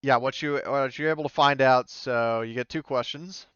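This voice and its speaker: A dry, slightly muffled recording of a man with a smooth, clean voice. He is a game master improvising descriptions, tentatively rewording as he goes. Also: mid-range voice, normal speed, fairly bright tone, no echo. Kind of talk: improvised tabletop roleplay narration.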